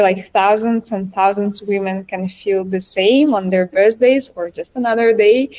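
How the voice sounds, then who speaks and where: A woman's voice: mid-range voice, a little mellow, poor audio. Woman, earnest interview guest. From Estonia. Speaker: interview guest, app founder. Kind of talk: conversational interview answers.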